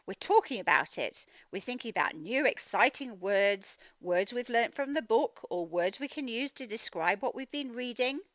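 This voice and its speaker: A woman teacher giving a children's read-aloud phonics lesson, speaking in an animated, emphatic, instructional way and sounding out words. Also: fairly high pitch, normal speed, hardly any pauses, no echo. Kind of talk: children's read-aloud lesson, explaining directly to young learners.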